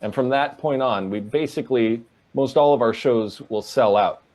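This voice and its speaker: A man from the American Northwest speaks as an interview guest. His voice sits at an ordinary pitch, and his answers are earnest and measured. He leans on phrases for emphasis as he explains. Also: darkish tone. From Portland, Oregon, USA.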